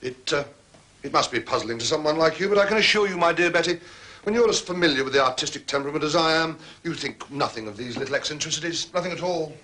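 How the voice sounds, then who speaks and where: An actor playing a self-important, discreet butler in a period detective drama, formal and condescending in manner. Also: male voice, fairly high pitch, animated, fast talker, bright, forceful tone. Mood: pompous, condescending. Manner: formal, pompous, deferential to authority.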